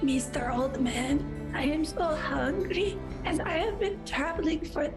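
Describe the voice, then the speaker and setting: A woman voicing a pitiful, hungry young sister who begs sweetly with drawn-out pleading in a tabletop game. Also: sits high, over music.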